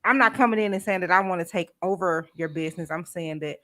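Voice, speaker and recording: Casting: woman, lowish voice, questioning and animated, casual podcast chat. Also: fast talker. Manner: questioning, animated when quoting others.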